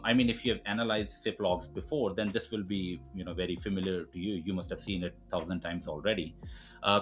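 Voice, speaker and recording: A man with a fairly low voice walks through a technical tutorial. He explains step by step in an informal way, with music behind him. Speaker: tutorial presenter. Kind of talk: screen-recorded software tutorial, explanatory.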